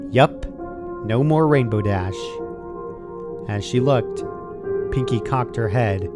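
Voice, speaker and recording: Male narrator for a horror fan story, medium pitch, scripted read with clear music underneath. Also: slow pace.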